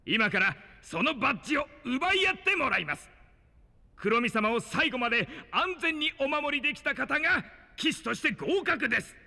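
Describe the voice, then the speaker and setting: An actor playing a theatrical, cheery exam master, announcing formally and showily in a fantasy audio drama. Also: male voice, very high-pitched, big pitch swings, moderate pace, vocal fry, sharp tone, heavily acted. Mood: showy. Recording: long hall reverb.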